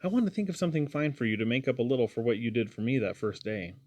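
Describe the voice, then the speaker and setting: A man's voice: medium pitch, racing, a little mellow, close to an everyday voice. Man reading as an eager, sweet young woman. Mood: coaxing. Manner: gushing, girlish exclamations.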